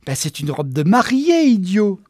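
A man's voice: sits high, normal speed, dark tone, dry recording, clean studio sound, pitched above the performer's own voice, close to an everyday voice. A man voicing a brash, clingy, bossy woman in her twenties in a storybook reading, gushing and demanding. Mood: impatient, insistent.

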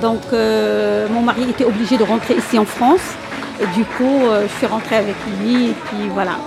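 Woman, hesitant, gravelly voice. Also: on the high side, steady tone, fairly quick. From Morocco. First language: Arabic. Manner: hesitant, many fillers. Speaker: language teacher guest. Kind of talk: interview answers.

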